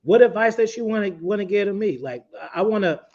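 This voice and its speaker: A man's voice: sits high, animated, quick pace, darkish tone, dull audio. A man hosting an interview, talking in a laid-back, profane way with lots of stumbles and restarts. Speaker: interview host and author. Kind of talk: casual interview chat.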